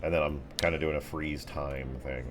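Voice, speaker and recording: A man's voice: very deep voice, steady tone, moderate pace. A man narrating an improvised tabletop game as game master in a casual, descriptive way. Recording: music behind the voice.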